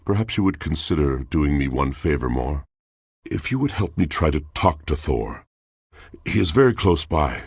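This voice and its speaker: An actor voicing a brooding, lovelorn poetic machine in a video game, with theatrical, solemn delivery and a gravelly voice. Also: male voice, very deep voice, sing-song, normal speed, darkish tone, no room sound, clean studio sound, full character voice. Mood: earnest, pleading. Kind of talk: video game character acting.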